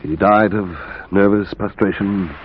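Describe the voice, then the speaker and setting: An actor playing an earnest, troubled, sceptical gentleman doctor, formal yet emotional, in 1940s radio horror style. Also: on the low side, fairly even intonation, a little slow, natural voice. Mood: distressed. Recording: muffled, faintly hissy old radio broadcast.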